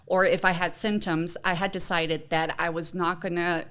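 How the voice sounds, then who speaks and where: A woman's voice: fairly low pitch, flat delivery, normal speed, fairly bright tone, smooth. A woman from Honduras co-hosting a podcast, chatting casually and personally in an earnest, encouraging manner. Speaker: podcast co-host.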